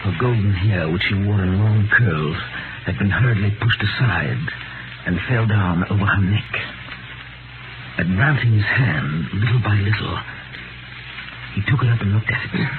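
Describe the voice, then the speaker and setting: An actor playing a discreet English gentleman from London who narrates a 1930s radio drama in a measured, solemn manner. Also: lowish voice, noisy background, lightly acted. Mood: solemn, descriptive. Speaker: narrator and character. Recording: narrow-band 1930s radio recording with music.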